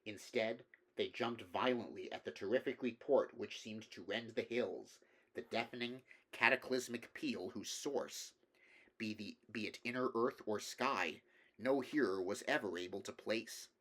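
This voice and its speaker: A man's voice: on the low side, unhurried, a bit bright. A man reading a horror story aloud as scripted narration. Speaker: audiobook reader.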